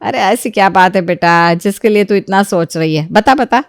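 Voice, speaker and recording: A woman acts out an older mother-in-law in a storybook drama. She is motherly and credulous, with a medium-pitched voice and a dry, close recording. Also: moderate pace, clear, resonant tone, no room sound, lightly acted. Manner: motherly, easily swayed. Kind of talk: dramatized Hindi moral story acting.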